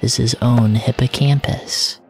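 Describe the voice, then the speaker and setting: A man narrating surreal first-person audio fiction in a deliberate, descriptive way, often in short fragments. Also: medium pitch, fairly even intonation, faint music behind, low-quality recording.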